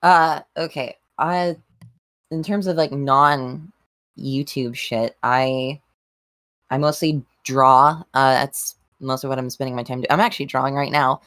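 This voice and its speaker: A woman chatting casually on a group podcast in a halting, deadpan, playfully cryptic way. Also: rumbling low, dry recording. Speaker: podcast panelist.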